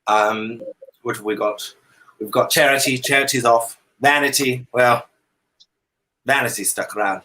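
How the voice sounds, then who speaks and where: A man's voice: medium pitch, measured pace, pauses often, bright, forceful tone, a little hiss or hum, rough recording. A man from Canada chatting comically as a talk show guest in an affected, theatrical dandy persona, with hesitant phrasing. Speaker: drag comedy performer, talk show guest. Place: indoor room at home, on a video call. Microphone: video call.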